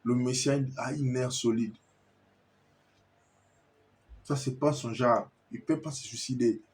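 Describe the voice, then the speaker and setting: Insistent Congolese man, mellow voice. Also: mid-range voice, fairly even intonation, a little slow. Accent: Congolese French. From Kinshasa, Congo. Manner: insistent, repetitive, stammers when searching for words. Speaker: commentator addressing viewers. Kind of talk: impassioned video monologue.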